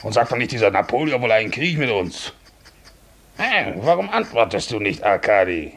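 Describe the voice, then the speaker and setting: A man's voice: lowish voice, big pitch swings, moderate pace, vocal fry, exaggerated voice. An actor in a 1950s radio play, playing a vain, suspicious Russian nobleman who blusters and muses. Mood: blustering, grumbling. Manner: blustering, musing, commanding.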